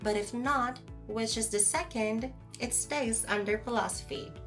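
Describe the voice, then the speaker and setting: A woman playing a calm know-it-all philosopher who lectures a friend in formal, measured wording in a podcast audio drama. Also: fairly high pitch, faint music behind. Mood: earnest, measured.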